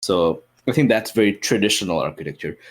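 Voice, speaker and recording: Male tabletop player, low voice, casual and tentative, building ideas as he speaks. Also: animated, a little fast, breathy edge. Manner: tentative, thinking aloud with many hedges. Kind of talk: casual improvised worldbuilding talk.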